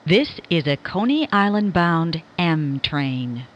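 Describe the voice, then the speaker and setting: A woman reads scripted subway announcements as a recorded train announcer, in a measured, formal, clearly enunciated manner. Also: sits low, big pitch swings, highs cut off.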